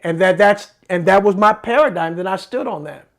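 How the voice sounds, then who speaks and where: A man's voice: high voice, normal speed, fairly bright tone. A man hosting a faith talk show, teaching in a sermon-like way with emphatic repetition and earnest personal testimony.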